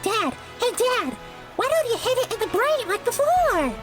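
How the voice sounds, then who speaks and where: Casting: excitable cartoon hedgehog youngster, extremely high and bouncy, over loud music. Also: female voice, moderate pace. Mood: excited. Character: excitable little hedgehog child, eager, boisterous. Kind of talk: animated comic dub voice acting.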